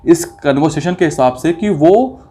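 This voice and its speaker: A man's voice: a little high, expressive, moderate pace, mellow. A man giving opinionated commentary in an indignant, accusatory manner, asking rhetorical questions and mixing in English phrases. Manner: mixes English phrases into speech. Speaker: video commentator.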